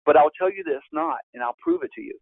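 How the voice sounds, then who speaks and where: A gravelly man in his fifties is heard over a thin, phone-like line with a dry, close sound. He teaches like a seasoned presenter, stressing his points with sudden leaps upward in pitch. His voice sits at an ordinary middle pitch between those leaps. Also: huge pitch swings, quick pace, no echo. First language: Spanish. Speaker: real estate investor presenting a course. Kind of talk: webinar presentation.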